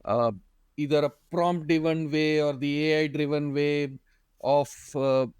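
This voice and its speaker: A man's voice: a little high, steady tone, unhurried, darkish tone, smooth, no room sound. A man co-hosting a podcast interview, putting long thoughtful questions to his guest. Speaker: podcast co-host.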